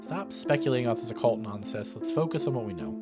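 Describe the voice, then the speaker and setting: A man's voice: medium pitch, lively intonation, quick pace, close to an everyday voice. A man voicing a skeptical, caring young woman investigator in a visual novel, earnest and insistent. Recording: music playing behind the voice.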